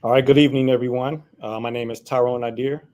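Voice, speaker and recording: Middle-aged American man, medium pitch, measured. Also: steady tone, a little mellow. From Saginaw, Michigan, USA. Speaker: panel guest, father. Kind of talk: polite conversational introduction.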